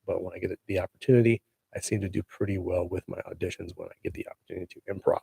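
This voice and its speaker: A man's voice: deep voice, fairly even intonation, very fast, gravelly, warm tone, husky, no echo, poor audio. A man who is an actor hosting his own show, chatting casually to viewers in a laid-back, slangy way that hypes up his news, speaking into a handheld mic held close to his face.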